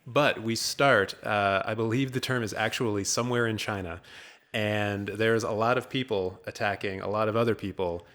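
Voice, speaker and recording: A man with a fairly low voice talks casually as a podcast host. He rambles through his storytelling with a joking, improvised feel, as if recapping something for friends. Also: fairly even intonation, normal speed, a bit bright. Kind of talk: casual film recap chat.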